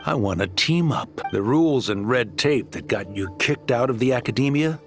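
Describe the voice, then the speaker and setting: Male actor as brash mercenary dealer, video game villain, animated swooping delivery, pushy salesman, music behind. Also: medium pitch, normal speed, lightly acted. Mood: slick, persuasive. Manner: slick, pushy, persuasive. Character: brash, greedy male desert mercenary leader and arms dealer. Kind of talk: scripted video game acting.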